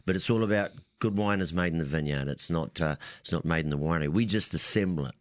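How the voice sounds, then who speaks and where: Deep-voiced New Zealand winemaker. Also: male voice, quick pace, no room sound, studio quality. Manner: rambling, repeats words, laughs easily. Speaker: winemaker guest. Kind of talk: casual talk radio chat.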